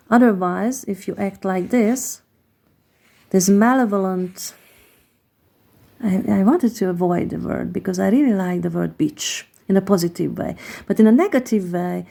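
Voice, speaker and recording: Hungarian-accented woman reading tarot cards, hesitant and unhurried, dark gravelly voice. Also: mid-range voice. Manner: hesitant, searching for words with many pauses. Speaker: tarot card reader. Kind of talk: slow, reflective card reading.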